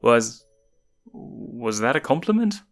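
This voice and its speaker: A man voicing an earnest young lion cub prince with a casual, halting, boyish way of talking. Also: medium pitch, sing-song, voice pushed up. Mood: hesitant. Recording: music behind the voice.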